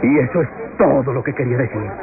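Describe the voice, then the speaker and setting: An actor playing an impassioned defense lawyer in a classic Latin American radionovela, declaiming solemnly with rhetorical pauses. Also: male voice, mid-range voice, a loud crowd, close to an everyday voice. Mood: solemn, accusing. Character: impassioned male defense lawyer pleading in court. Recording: tinny vintage radio drama, music behind.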